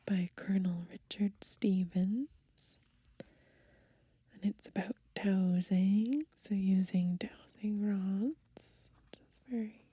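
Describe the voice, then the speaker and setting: A young woman doing soft-spoken ASMR in a gentle, unhurried, breathy whisper, recorded close to the microphone outdoors. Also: medium pitch, no echo. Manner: gentle, unhurried, softly murmured. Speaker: ASMR video host. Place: outdoors on grass in light wind.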